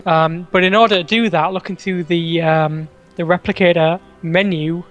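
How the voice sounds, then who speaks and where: A man co-hosting a game stream in casual chat, teasing and quick to giggle while he plans out loud, on a headset mic. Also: sits high, steady tone. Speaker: co-host. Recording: faint game music behind.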